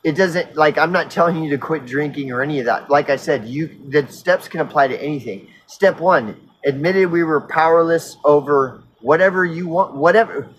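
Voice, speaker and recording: A man of fifty on an unscripted personal rant, earnest and insistent, halting and repeating himself as he circles back mid-sentence, casually profane. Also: a little high, slight background noise, poor audio. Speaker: recovery vlogger.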